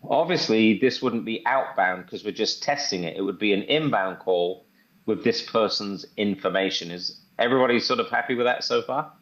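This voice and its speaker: A man giving a casual live software walkthrough as presenter, enthusiastic and often repeating himself, on muffled online call audio. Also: on the low side, moderate pace.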